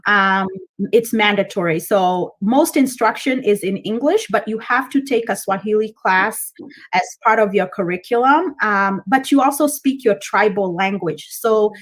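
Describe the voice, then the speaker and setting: A woman's voice: mid-range voice, measured pace. A woman from Kenya, a Kikuyu speaker, chatting as a guest and explaining at length with frequent ums. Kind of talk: casual interview.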